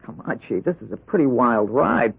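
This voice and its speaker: An actor playing a loyal but anxious young lawyer, hesitant and informally protesting, in an old radio drama. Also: male voice, medium pitch, lively intonation, normal speed, gravelly, slightly rough, heavily acted. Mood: doubtful. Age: young adult. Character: young attorney, anxious, loyal, skeptical subordinate. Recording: muffled vintage radio recording.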